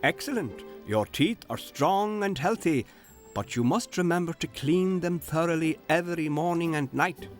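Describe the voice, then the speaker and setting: A cheerful dentist speaks briskly and encouragingly, as a male narrator plays the part. His voice sits a little higher than a plain talking voice. He sounds like a friendly figure from a storybook. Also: animated, soft background music, natural voice.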